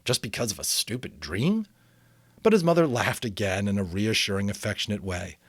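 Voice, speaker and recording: Lively man reading a story aloud. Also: on the low side, normal speed, husky, no room sound, studio quality. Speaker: amateur voice actor reading an audiobook, commenting. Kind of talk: dramatic audiobook reading with casual commentary.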